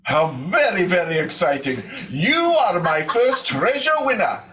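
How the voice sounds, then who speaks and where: A man's voice: high-pitched, big pitch swings, measured pace, pushed and bright, slight background noise, heavily acted. A man voicing an overly polite, effusive prize call-center agent with a formal, salesy manner in a story reading.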